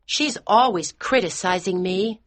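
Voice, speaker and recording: A woman's voice: medium pitch, a little slow, dry recording, natural voice. A woman voicing a small, outspoken, candy-loving American boy in an audiobook, with a blunt, childlike manner. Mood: blunt, childish. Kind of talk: graded audiobook character dialogue.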